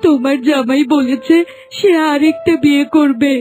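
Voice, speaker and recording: A woman playing a distressed but stubborn young village wife in a cartoon, with a quick, emotional, pleading delivery. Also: sits high, steady tone, dark tone, close to an everyday voice. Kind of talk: melodramatic cartoon acting. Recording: music bed under voice.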